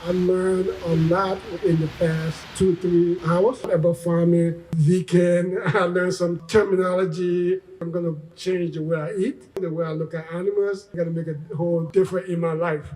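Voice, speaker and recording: A man's voice: high-pitched, warm tone, recorded from a distance, poor audio. A man from Liberia, giving an informal testimonial as an event attendee.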